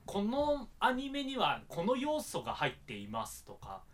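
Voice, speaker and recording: A man's voice: high-pitched, wildly swooping pitch, measured pace, fairly bright tone. A man co-hosting a podcast in casual, joking banter, often with a laugh running through his words. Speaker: podcast co-host.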